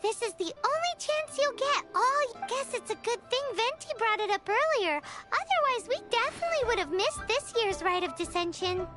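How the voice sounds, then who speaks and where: Very high, squeaky childlike fairy companion. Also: female voice, expressive, normal speed, few pauses, loud music behind the voice. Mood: eager, explaining. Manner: eager, chatty, childlike, refers to self by name. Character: Paimon, tiny chirpy childlike female fairy companion. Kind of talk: animated video game dialogue.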